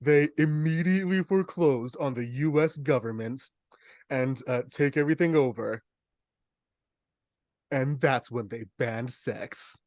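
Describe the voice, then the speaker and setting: A young man talks as a podcast co-host, spinning humorous stories in a casual way. His voice sits at an ordinary male pitch, and the sound is dry with hardly any room in it. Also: measured pace, a little mellow, no room sound. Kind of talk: casual chat, humorous storytelling.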